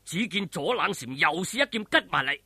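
A male storyteller with a Hong Kong Cantonese accent delivers a martial arts tale with very animated pitch. His voice is husky and gravelly, and he adds exclamations and mouth-made sound effects in a colloquial, dramatic style. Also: normal speed, no room sound.

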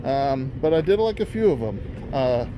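A man talks casually to his handheld phone camera while walking outdoors, rambling and thinking out loud. His voice sits at an ordinary mid pitch. Clear traffic and street noise runs behind him. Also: sing-song, normal speed, lo-fi sound. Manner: rambling, musing aloud with fillers. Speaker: vlogger. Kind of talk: casual walking vlog narration. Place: outdoors in a parking lot by a closed restaurant.

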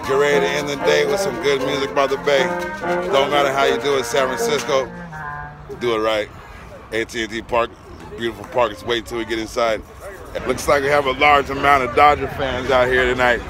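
A man's voice: medium pitch, flat delivery, fairly quick. A man in his thirties vlogging on a phone held at arm's length, chatting enthusiastically as he tours a busy ballpark. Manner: upbeat, enthusiastic and chatty with fans. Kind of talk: casual selfie-camera vlog narration. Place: outdoors and in a busy baseball stadium concourse. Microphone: phone camera at arm's length. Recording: noisy crowd and background music. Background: crowd chatter and music.

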